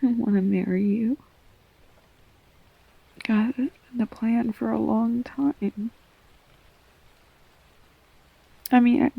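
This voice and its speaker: A woman playing a tender, flustered girlfriend in an intimate roleplay audio, speaking softly and haltingly, often slipping toward a whisper. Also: medium pitch, vocal fry, dry recording, rough recording. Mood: earnest, tender. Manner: halting, stammering, soft-spoken, breaking into whispers. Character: tender, flustered girlfriend blurting out a marriage proposal.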